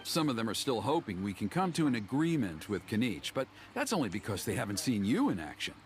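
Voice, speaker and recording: A man's voice: lowish voice, big pitch swings, brisk, a little hiss or hum. A man playing an earnest, dutiful tribesman in a video game, with formal, solemn phrasing. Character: earnest, dutiful tribesman seeking a ceremonial champion.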